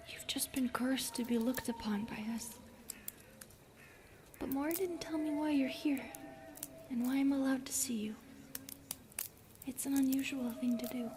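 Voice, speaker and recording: Girl doing a bashful forest spirit lady, highish soft whispery voice, hesitant and sorry about everything, fantasy roleplay, bit of background ambience. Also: slight background noise. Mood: shy, nervous. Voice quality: soft, breathy, often dropping into a whisper. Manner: hesitant, self-effacing, apologetic, halting. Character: shy, gentle female forest spirit, keeper of dark secrets. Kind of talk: interactive fantasy audio roleplay addressed to the listener.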